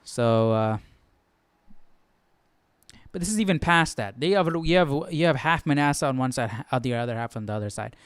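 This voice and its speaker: A man's voice: mid-range voice, expressive, normal speed, pauses often, clear, resonant tone, no echo. A man giving casual, off-the-cuff commentary as host of a Bible study, questioning and conversational with repeated phrases.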